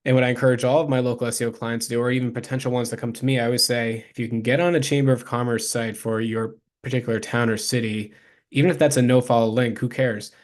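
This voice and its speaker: A man presents as a consultant walking a viewer through a case study. His pitch stays fairly flat and even, and his delivery is informal, explanatory and practical, addressed straight to the listener. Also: quick pace.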